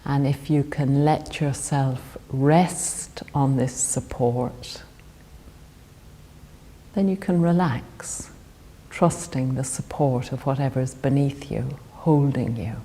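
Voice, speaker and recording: A woman guides a body scan meditation. Her voice is low and mellow, and she speaks very slowly and gently, leaving calm pauses between phrases. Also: slight background noise.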